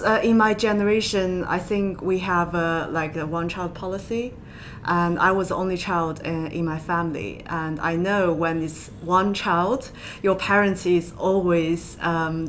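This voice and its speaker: Lower-pitched Chinese woman over rumbling noise. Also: steady tone, a little slow. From southwest China. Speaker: podcast guest, accountant. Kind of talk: interview conversation. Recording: low rumble and background noise.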